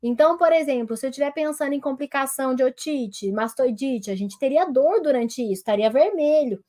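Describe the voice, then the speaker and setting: A woman doctor with a Brazilian Portuguese accent teaching telemedicine over a webcam, nasal and congested from a cold, talking to her viewers in a lively, direct way. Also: high-pitched, brisk. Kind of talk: online medical lesson, demonstrating on herself.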